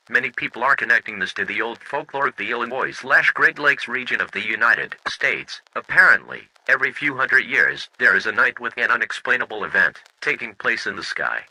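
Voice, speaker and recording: Very bright, dry-sounding male alert announcer. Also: mid-range voice, no echo, rough recording. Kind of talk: scripted emergency broadcast announcement.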